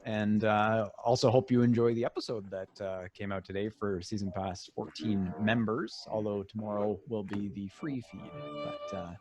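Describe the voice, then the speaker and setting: A man's voice: on the low side, fairly even intonation, normal speed, hardly any pauses, a little mellow, faint music behind. A man chatting casually as a guest, agreeable and informal in his way of talking. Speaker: voice actor, live-stream guest. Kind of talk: casual chat.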